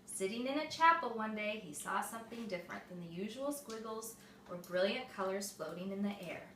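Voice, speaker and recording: A woman reading a picture book aloud to young children, expressive and explaining things simply, like a mother at storytime. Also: mid-range voice, fairly quick. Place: echoey room with small children present. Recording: echoey room, distant mic, faint noise.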